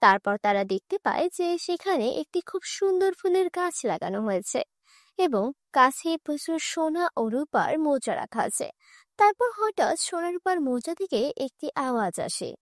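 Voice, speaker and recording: A woman's voice: high-pitched, very animated, normal speed, dry recording, clean studio sound. A woman narrator reading a scripted children's fairy tale. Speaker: storyteller narrator.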